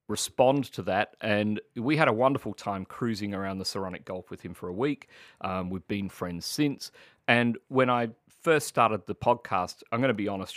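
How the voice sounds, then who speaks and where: A man's voice: fairly low pitch, a bit bright, dry recording, clean studio sound. A man hosting a podcast, interviewing in a conversational way. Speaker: podcast host.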